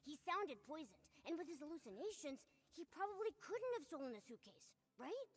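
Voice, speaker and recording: A woman voicing a small, cute, excitable companion creature in a video game, perky, inquisitive and cheeky. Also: high voice, fairly quick, big-room sound. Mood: puzzled. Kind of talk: game voice acting.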